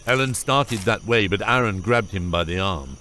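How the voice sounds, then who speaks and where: A man's voice: on the low side, animated, normal speed, bright, forceful tone, slight background noise. A man narrating a fantasy audiobook from a script.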